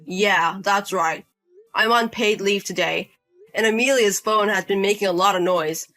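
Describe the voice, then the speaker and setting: A woman speaks as a stern husband standing up for his wife, indignant and confronting. The voice is bright and stays at an everyday pitch, with a firm, direct delivery in a drama story. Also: a little slow, faint music behind. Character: protective angry husband, younger brother.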